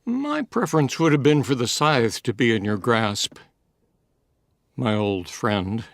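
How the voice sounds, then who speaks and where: A man's voice: medium pitch, lively intonation, a little slow, vocal fry, no echo, studio quality. A man playing a fearless elderly scholar in an audiobook, speaking with eloquent, theatrical mockery. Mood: wry, composed. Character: elderly learned scholar, fearless, wry and taunting. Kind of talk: dramatized audiobook acting.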